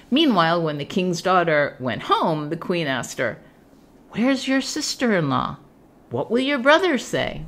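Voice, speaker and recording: Woman storyteller with lively, swooping pitch. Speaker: podcast storyteller. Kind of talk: scripted fairy tale narration, read aloud.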